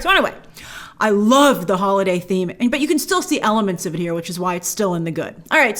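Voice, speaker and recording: A woman with a fairly high voice delivers an opinionated review. Her pitch swings widely as she talks. She is emphatic and informal, speaking as a show host in a conversational style. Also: fairly bright tone.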